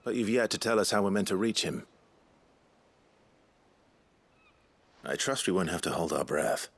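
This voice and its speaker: A man playing a grim but compassionate warrior in a video game, speaking in a husky, measured, earnest voice. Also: low voice, expressive, dry recording. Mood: calm, guarded. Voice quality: husky, gravelly. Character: grim, compassionate male warrior, adult, quietly resolute. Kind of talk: video game cutscene acting.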